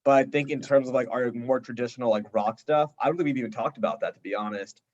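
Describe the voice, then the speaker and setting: A young adult man talks casually as an interviewed band member. His pitch is average and his manner is eager and informal, with "like" all through his sentences. The recording is a little dull and lo-fi. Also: fast talker, darkish tone. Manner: enthusiastic, informal, heavy use of "like". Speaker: indie rock band member being interviewed. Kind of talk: casual chat.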